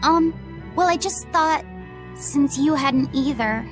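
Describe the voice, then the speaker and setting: Casting: earnest little boy, shy and kind, high register, fantasy game, music underneath. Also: slow pace. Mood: hesitant, earnest. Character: gentle, earnest young boy spirit, caring and shy. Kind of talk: English game voice acting.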